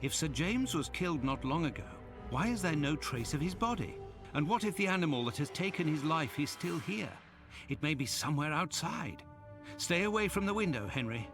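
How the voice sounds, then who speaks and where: Courteous uneasy sidekick, lighter-pitched male voice, lively swings, formal phrasing, game cutscene. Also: moderate pace, over music. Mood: uneasy concern. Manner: genteel, expressive, earnest. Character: polite, uneasy gentleman sidekick to a detective. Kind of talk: video game cutscene voice acting.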